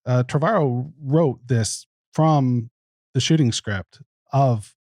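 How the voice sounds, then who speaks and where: A man's voice: mid-range voice, slow, darkish tone, no echo, studio quality. A man appearing as a podcast guest, musing informally about a film. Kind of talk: casual film discussion.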